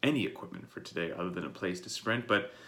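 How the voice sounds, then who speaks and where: Congested coach with a bright voice. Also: male voice, low-pitched, fast. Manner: plain, explanatory, talks straight to the listener. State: congested from a cold. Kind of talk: daily workout briefing.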